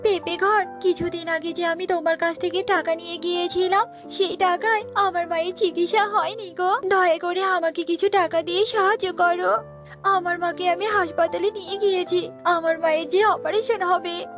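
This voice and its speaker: A woman's voice: very high voice, fairly even intonation, moderate pace, over music. A woman voicing a poor, distressed bird begging for help in a children's cartoon. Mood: desperate. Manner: plaintive, begging.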